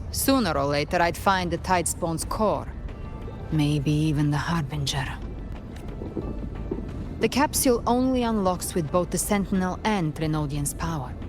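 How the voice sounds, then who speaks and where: Lowish female voice, cool secretive monster hunter, grave measured game dialogue, music underneath. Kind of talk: scripted video game voice acting.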